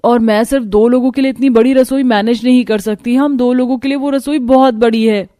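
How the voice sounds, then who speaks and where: A woman's voice: a little high, fairly even intonation, fairly quick, warm tone, no echo, clean studio sound, lightly acted. A woman playing a fretful, competitive rich younger daughter-in-law in a cartoon family story. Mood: insistent. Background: background music.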